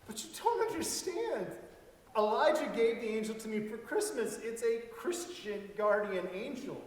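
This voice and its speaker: A man's voice: very high voice, animated, moderate pace. A man reading the part of an earnest, worried nine-year-old boy in a storybook. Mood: anxious, pleading. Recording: echoey hall, distant mic.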